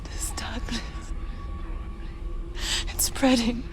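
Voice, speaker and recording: A woman playing a tormented, fragile young warrior haunted by voices in a dark fantasy video game, breathy and trembling. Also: a little high, level tone, drawn-out words, whispered. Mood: grieving, resolute. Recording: music behind the voice.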